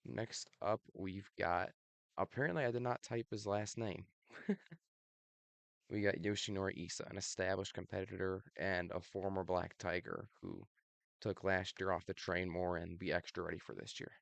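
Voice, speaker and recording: A young man with a very low voice and a gravelly fry talks through his predictions in a loose, offhand way, filling pauses with ums. His voice is a little raspy from allergies. The recording is dry and close, with no room sound. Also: dry recording. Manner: relaxed, many ums, laughs at himself. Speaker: video host. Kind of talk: casual predictions commentary.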